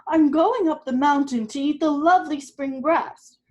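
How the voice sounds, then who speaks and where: A woman voicing the middle-sized billy goat, polite and nervous, speaking up a little higher than her narration. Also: moderate pace, a little mellow, lo-fi sound, natural voice. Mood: earnest.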